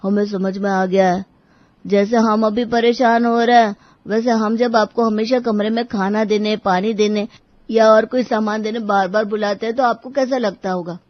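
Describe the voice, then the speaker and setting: A woman's voice: medium pitch, fairly even intonation, moderate pace, no room sound, muffled recording, lightly acted. A woman voicing grumbling young males of a family in a cartoon story, with a complaining manner. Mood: apologetic.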